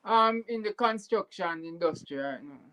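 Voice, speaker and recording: A man's voice: high-pitched, expressive, moderate pace, bright, forceful tone, rough recording. A Jamaican man speaking in an earnest, heartfelt way as a guest in a casual chat, sometimes repeating words. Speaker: YouTuber guest.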